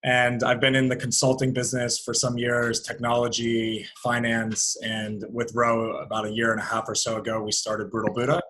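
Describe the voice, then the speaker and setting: A man's voice: medium pitch, completely flat delivery, moderate pace, hardly any pauses, fairly bright tone, low-quality recording. A man from Florida speaking conversationally as a guest in an interview. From Florida, United States. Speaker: interview guest, company founder.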